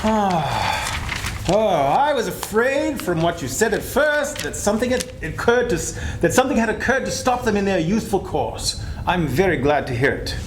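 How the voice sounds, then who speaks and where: A man's voice: big pitch swings, normal speed. A man playing a cantankerous, miserly old man in a dramatic stage reading, curt, scornful and emphatic. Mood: cold, dismissive. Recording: echoey room, background noise and rumble.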